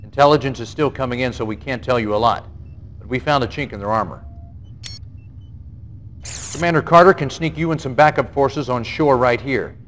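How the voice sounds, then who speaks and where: An actor playing a brisk, gruff general who briefs a field commander in a clipped, authoritative way in a video game cutscene. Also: male voice, mid-range voice, a bit bright, natural voice. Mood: businesslike, urgent. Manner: clipped, authoritative, matter-of-fact. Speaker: military commanding officer. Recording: noisy 1990s game video audio.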